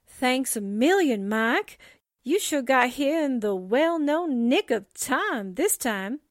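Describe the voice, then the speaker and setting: An actress playing an excitable, wisecracking female sidekick in 1940s-style radio drama. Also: high voice, takes it slowly, no echo, clean studio sound. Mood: relieved, curious. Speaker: voice actor.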